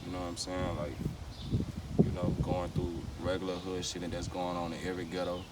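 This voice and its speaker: A man's voice: sits low, completely flat delivery, a little fast. A man with a Louisiana accent chatting casually as an interviewed rapper, with heavy slang and frequent swearing. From Baton Rouge, Louisiana, USA. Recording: far from mic, loud outdoor street noise.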